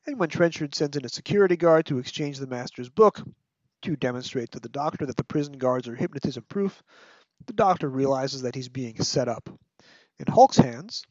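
Male podcast host, scripted narration, higher-pitched voice, wry, articulate, dry slightly muffled recording. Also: a little mellow, dry recording. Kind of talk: scripted narration, literary review.

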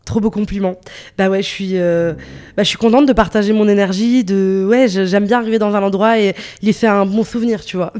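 Woman, late twenties, from northern Italy, interview guest, energetic, casual, easy laugh. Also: medium pitch, a little fast. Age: 20s. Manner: bubbly, laughs easily, many fillers.

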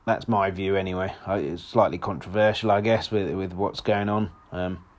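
A man with a hoarse voice, hosting a solo football podcast in a rambling, casual way with lots of restarts. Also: deep voice, fairly even intonation, moderate pace. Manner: rambling, frequent restarts and fillers.

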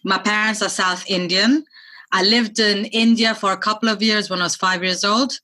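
A woman's voice: medium pitch, steady tone, normal speed, bright, forceful tone. A woman in her thirties from Germany, chatting openly as a guest over a remote call, with lots of "you know" fillers. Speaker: guest music producer. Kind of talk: casual interview chat. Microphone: remote video call.

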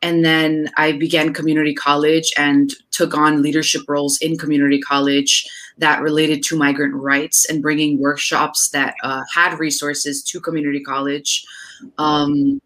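A young woman from New Jersey speaks as an interview guest. Her voice is notably low for a woman. She tells her story in an articulate, self-assured manner. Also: level tone, moderate pace, fairly bright tone. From New Jersey, United States. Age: young adult. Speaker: guest, digital strategist. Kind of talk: interview answers.

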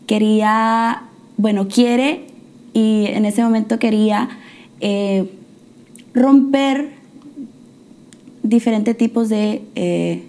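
A young woman from the United States, speaking as a guest, with a mellow, warm tone set a little high. She tells her story hesitantly, pausing on fillers and restarting her phrases. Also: fairly even intonation. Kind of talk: testimony interview.

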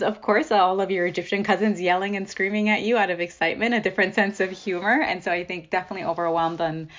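A woman's voice: low-pitched, lo-fi sound, slight background noise. A woman journalist from the United States telling personal stories in a thoughtful, reflective way in an interview. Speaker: journalist guest.

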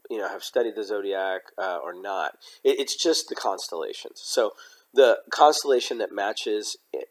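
A man with a slightly hoarse voice hosting an informal Bible study, explaining in a halting, explanatory way. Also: on the low side. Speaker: host of a Bible study podcast. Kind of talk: informal religious teaching with scripture readings.

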